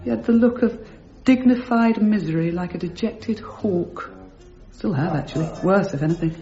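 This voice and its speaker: A woman's voice: medium pitch, normal speed, mellow, muffled recording, soft background music, lightly acted. An actress playing a prim, composed married landlady, speaking articulately and insistently in a radio drama. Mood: probing, insistent. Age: 30s. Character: prim, probing married landlady in her thirties.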